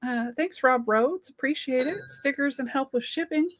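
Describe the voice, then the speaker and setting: A woman's voice: sits high, normal speed, a little hiss or hum. Giggly woman losing her voice. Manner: giggly, chatty, reads out viewer comments. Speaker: livestream co-host. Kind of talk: casual livestream chat.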